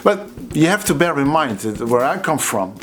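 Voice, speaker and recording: A middle-aged Dutch drummer with a Dutch accent, telling anecdotes in a chatty, casual way to the camera. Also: male voice, fairly high pitch, big pitch swings, moderate pace. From Netherlands. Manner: chatty, anecdotal, laughs at his own stories.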